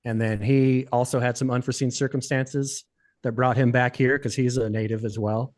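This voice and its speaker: A man in his forties from the United States, chatting as a podcast guest in a relaxed, rambling way, with many fillers. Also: mid-range voice, normal speed. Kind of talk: casual interview chat.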